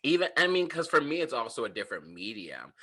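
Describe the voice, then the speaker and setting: A comedian hosting a casual podcast chat from his bedroom, animated and full of fillers, laughing often. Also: mid-range voice, quick pace, slight background noise. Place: home bedroom.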